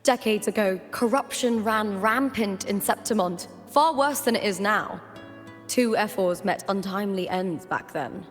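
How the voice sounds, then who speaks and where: A woman's voice: mid-range voice, measured pace, faint music behind, lightly acted. A woman as a frank young gladiator, reverberant voice. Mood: grave, informative. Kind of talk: video game voice acting.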